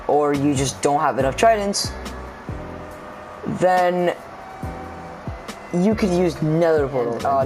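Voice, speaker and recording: A man who is sick, his voice sounding off, casually explaining a game build step by step and counting blocks aloud. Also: fairly high pitch. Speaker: game tutorial creator. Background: background music.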